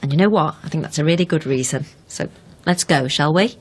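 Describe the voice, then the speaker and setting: An actress playing a caring but sharp-tongued young fiancée in a naturalistic radio drama, pointed and persuasive. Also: female voice, sits low, fairly quick, slight background noise, natural voice. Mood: gently coaxing.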